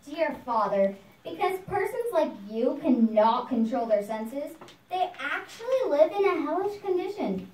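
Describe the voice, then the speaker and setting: A woman's voice: high-pitched, sing-song, unhurried, darkish tone. A woman voicing a saintly, fearless young boy prince who preaches earnestly in a puppet show, sounding recited. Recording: echoey noisy room, far from mic.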